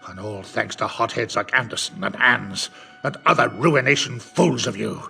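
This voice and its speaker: An actor playing a wily, domineering middle-aged pirate captain with a gravelly voice, theatrical and full of rustic sailor talk, in an audio drama. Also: male voice, fairly low pitch, normal speed, bright, forceful tone, faint music behind, exaggerated voice. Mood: commanding.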